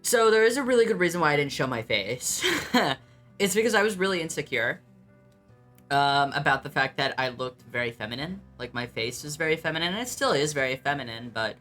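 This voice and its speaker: A young man with a light voice carrying some bass, reacting to his old videos in casual talk, blunt and self-mocking, swearing freely and filling pauses with ums. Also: lively intonation, sharp tone, faint music behind. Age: young adult.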